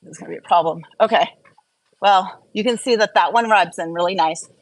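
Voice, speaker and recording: A woman's voice: fairly low pitch, a little fast, dry recording, low-quality recording. A woman vlogging in a casual, chatty question-and-answer and review style, rambling and often interrupting herself as she gets distracted. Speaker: skincare and wellness vlogger.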